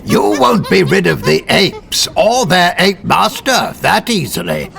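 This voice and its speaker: An actor playing a megalomaniac mad scientist villain in a comic drama, declaiming pompously in florid diction. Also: male voice, high voice, wildly swooping pitch, unhurried, gravelly, fairly bright tone, exaggerated voice. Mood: gloating.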